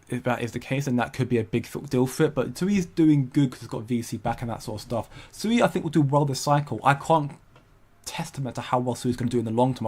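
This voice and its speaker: A young man hosting a casual crypto livestream, rambling and hesitant with frequent restarts while he reads out viewer comments. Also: mid-range voice. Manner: rambling, hesitant, many restarts, laughs often.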